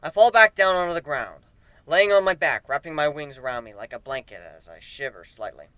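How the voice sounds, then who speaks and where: A man's voice: on the high side, fairly quick, bright, forceful tone, smooth, no room sound. A man giving a theatrical, emphatic dramatic reading of a first-person fantasy story. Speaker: narrator of fan fiction.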